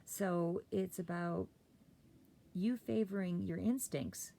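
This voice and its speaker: Brief: hoarse woman tarot reader, low gravelly mellow voice, rambling delivery, faint hum behind. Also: slow. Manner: rambling, many fillers and self-corrections. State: hoarse, clearing throat. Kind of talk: casual tarot reading monologue.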